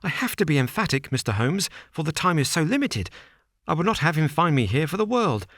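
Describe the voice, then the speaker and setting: A man's voice: fairly high pitch, sing-song, brisk, a bit bright, no echo, clean studio sound, pitched above the performer's own voice. A man voicing a nervous, frightened estate manager who gasps out short, agitated sentences in a raised voice. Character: frightened, breathless male estate manager, middle-aged.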